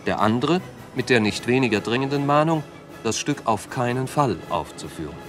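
A man's voice: mid-range voice, lively intonation, moderate pace, a bit bright, soft background music, natural voice. A man reading scripted documentary narration.

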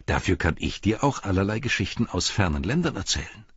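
A man reading a storybook voices a playful male halfling with teasing bravado and a husky voice. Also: low-pitched, big pitch swings, a little fast, fairly bright tone, dry recording, muffled recording, pitched above the performer's own voice, heavily acted. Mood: friendly. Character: cheeky small male halfling, good-humored.

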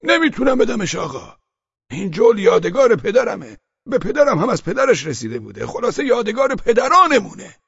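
A man's voice: high-pitched, big pitch swings, fairly bright tone, dry recording, dull audio, pitched above the performer's own voice, exaggerated voice. A man voicing a stubborn, cunning old male village peasant who haggles and insists. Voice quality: raspy, gravelly.

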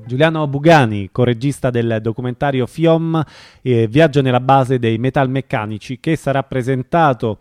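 A man hosts a radio interview. His voice sits at an average pitch, and he speaks informally, with somewhat halting, spontaneous phrasing. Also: a little mellow, smooth, no room sound, clean studio sound, soft background music.

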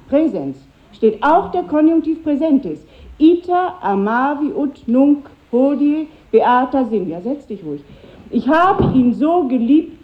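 An echoey, phone-like vintage recording frames a high-voiced woman teacher. She is didactic and strict, yet wistful, in 1950s radio drama style.

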